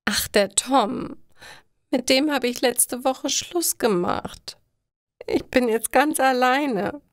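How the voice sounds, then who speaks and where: Vulnerable, doubtful teen schoolgirl acted by a woman for an audio storybook, raised pitch, dry sound. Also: slow, dry recording. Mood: tearful, despairing. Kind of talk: acted dialogue in a learner's story.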